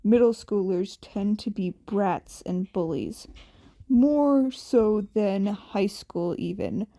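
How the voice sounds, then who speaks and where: A woman voicing a gruff, tired, blunt but protective man with a grudging deadpan delivery in a fan fiction audiobook. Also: medium pitch, slow pace, dry recording, voice pushed down. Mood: blunt, firm.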